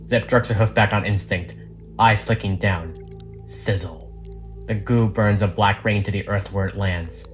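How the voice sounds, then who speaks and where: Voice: low voice, steady tone, measured pace. A narrator reading a script and its stage directions in a dramatic storytelling style. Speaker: narrator reading all parts of a fan audio drama. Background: background music.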